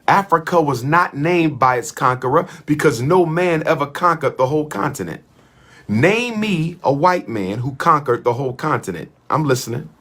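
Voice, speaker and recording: A husky-voiced man delivering a fiery speech. His pitch swings widely as he drives each point home. He leans on repetition in a rolling, chant-like cadence. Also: lively intonation, moderate pace, fairly bright tone. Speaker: speaker addressing an audience. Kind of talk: impassioned oratory.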